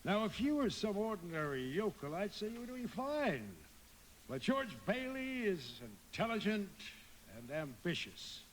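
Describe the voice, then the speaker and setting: A man's voice: high voice, big pitch swings, unhurried, a little hiss or hum, low-quality recording. A man playing a scheming, imperious older banker in a radio drama, pompous and domineering as he persuades. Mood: smug, baiting. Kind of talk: live radio drama acting.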